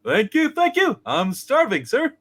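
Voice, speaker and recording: Cartoon apprentice angel, very high and wildly swooping, eager, fretful, stammering deference, comic radio play. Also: male voice, big pitch swings, a little slow, fairly bright tone, heavily acted. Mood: delighted.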